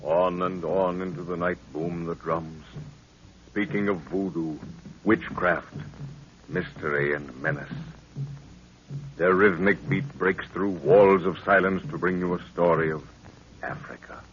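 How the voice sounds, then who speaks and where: A man's voice: low voice, fairly even intonation, a little slow, slight background noise. A man narrating a radio adventure serial in the solemn, portentous style of a 1930s announcer. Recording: dull lo-fi 1930s radio recording.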